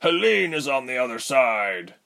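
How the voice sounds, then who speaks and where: A man's voice: medium pitch, expressive, slow pace, pushed and bright. A man voicing a desperate, tender father in a storybook narration. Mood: tense, desperate. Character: desperate, tender father fighting to save his wife.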